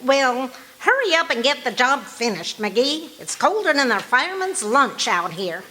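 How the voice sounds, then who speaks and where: A woman playing a patient, gently needling housewife in an old-time radio comedy, wry and chiding. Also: high voice, big pitch swings, a little slow, heavily acted. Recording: noisy live stage recording.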